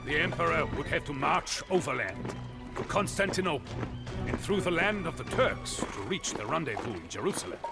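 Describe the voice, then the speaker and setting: An actor playing an old man who narrates a medieval emperor's tale in a video game cutscene, with theatrical, measured, emphatic phrasing. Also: fairly high pitch, animated, vocal fry. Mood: dramatic storytelling. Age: older. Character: old man, dramatic storyteller of a medieval emperor's rise and fall. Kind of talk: scripted dramatic storytelling narration for a game cutscene. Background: loud music.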